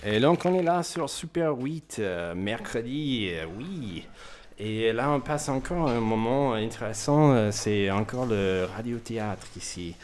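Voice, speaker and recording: A man, a native English speaker with a foreign accent, hosting a casual radio talk show in halting, loosely worded language. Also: medium pitch, animated, a little mellow. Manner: halting, loosely worded French with grammar slips. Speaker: radio host. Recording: faint background noise.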